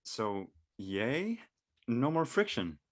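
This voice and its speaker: A woman's voice: extremely low pitch, very animated, very slow, full character voice. A woman playing a laid-back, slangy teenage schoolboy in a drama read. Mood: easygoing. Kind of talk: audio drama table read acting.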